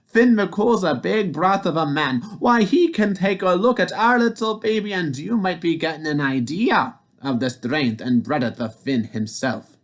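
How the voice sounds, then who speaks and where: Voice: mid-range voice, a little slow, pitched above the performer's own voice, close to an everyday voice. A storyteller voicing a clever, sweet-talking giant's wife in a storybook tale. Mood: sweetly sly. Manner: sugary, coaxing. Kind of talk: storybook reading.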